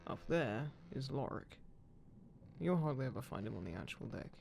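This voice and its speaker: A man's voice: medium pitch, lively intonation, normal speed, darkish tone, slight background noise. A man playing a guarded ghost pirate captain, protective of his crew, speaking plainly and unhurriedly to one listener in an audio roleplay. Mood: fond, assured. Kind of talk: immersive roleplay audio drama, spoken intimately to one listener. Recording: clear background ship-creak sound effects. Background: creaking wooden ship ambience.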